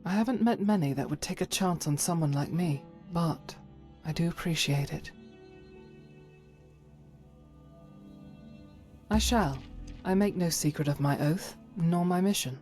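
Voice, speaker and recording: A woman playing a devout, stern holy warrior in a video game, speaking in solemn, formal, measured phrases. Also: on the low side, a little mellow, soft background music, close to an everyday voice. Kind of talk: scripted video game character dialogue.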